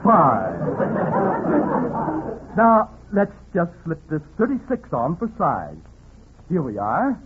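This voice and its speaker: A man's voice: fairly high pitch, huge pitch swings, slow, long pauses, narrow, tinny audio, full character voice. A man playing a patronizing, chirpy menswear salesman in 1940s radio comedy, sing-song and condescending. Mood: fussy, condescending. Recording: noisy, thin 1940s radio, audience behind.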